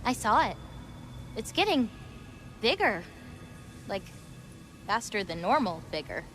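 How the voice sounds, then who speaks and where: A caring young giantess in a fantasy game. Her mid-range voice is casual and a bit halting, natural in delivery. Faint music runs underneath. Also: mid-range voice, big pitch swings, fairly bright tone. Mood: uneasy. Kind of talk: naturalistic video game acting.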